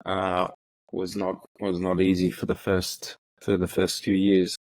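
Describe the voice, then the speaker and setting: A middle-aged man from Ethiopia, telling his story as an interview guest in a halting way, with many fillers and repeated words. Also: deep voice, monotone, a little mellow, poor audio. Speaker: interview guest, retired prosecutor. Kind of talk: relaxed reflective storytelling.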